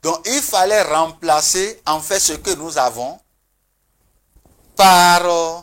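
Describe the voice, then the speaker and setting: A man speaking as a traditional spiritual guest on a TV talk show, earnest and emphatic, with pauses and restarts. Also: on the high side, a bit bright.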